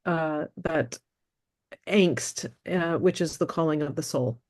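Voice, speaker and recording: Earnest American woman, lower voice. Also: takes it slowly, darkish tone. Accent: American, upstate New York. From upstate New York. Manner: earnest, laughs easily. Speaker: guest, wellness coach. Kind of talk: conversational interview.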